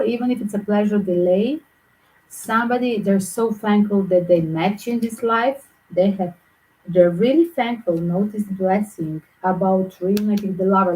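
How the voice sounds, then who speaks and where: A woman with a mixed Greek and Yugoslav accent talks through a tarot spread for her viewers. Her English is quick and loose, and her voice sits at an ordinary pitch with a mellow, dark colour. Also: slight background noise, low-quality recording. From Southern Europe. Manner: fast, chatty, in non-native English. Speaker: tarot reader.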